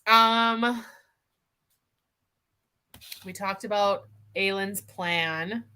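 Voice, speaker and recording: A woman with a bright, forward voice co-hosts an online book chat. She talks informally and loosely, with a giggly streak, as if on a video call with a friend. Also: mid-range voice, extremely slow, a little hiss or hum. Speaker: co-host of book discussion live show. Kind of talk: casual livestream book chat. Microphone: video call over internet.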